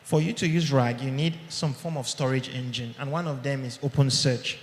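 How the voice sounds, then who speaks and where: A man's voice: medium pitch, steady tone, moderate pace, slight background noise. A man giving a conversational live tech talk to an audience, often checking in with "okay?", recorded on a mic in a large hall. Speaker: tech conference speaker. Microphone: handheld or podium mic. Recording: echoey hall with long reverb.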